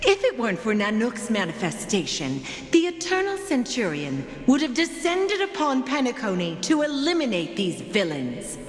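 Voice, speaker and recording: A woman's voice: on the high side, huge pitch swings, normal speed, few pauses. A woman playing an imperious, merciless female judge in a video game, speaking in solemn, grandiose, archaic phrases with echoing reverb. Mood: stern, imperious. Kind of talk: scripted game voice acting, ceremonial monologue. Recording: echoey hall reverb under game music.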